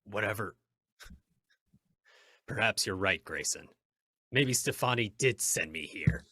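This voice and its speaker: An actor playing a stern, righteous masked crime fighter in an old-time radio drama, with formal, deliberate, commanding phrasing. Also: male voice, lowish voice, animated, sharp tone, heavily acted. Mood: cool, commanding.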